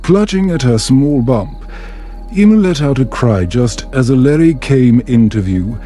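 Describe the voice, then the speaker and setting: Slow, mellow man narrating a bedtime story. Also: mid-range voice, animated, soft background music. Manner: measured, soothing, gentle.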